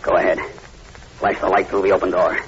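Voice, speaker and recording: A man's voice: deep voice, completely flat delivery, a little fast, natural voice. An actor playing a fearless, commanding man hunting the undead, curt and urgent in an old-time radio horror drama. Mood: urgent, determined. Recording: muffled, hissy old radio recording.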